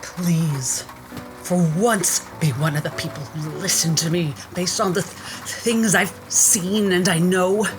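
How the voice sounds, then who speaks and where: A woman's voice: lowish voice, slow pace, pitched below the performer's own voice. A woman voicing a blunt older woman who is fed up with not being heard. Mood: pleading. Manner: exasperated, swearing. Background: music.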